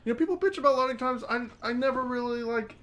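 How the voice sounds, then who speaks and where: A man's voice: very high voice, quick pace. A man co-hosting a gaming show with casual, joking chat and sweary interjections. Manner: profane, jokey interjections. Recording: loud game music behind the voice. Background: loud video game music.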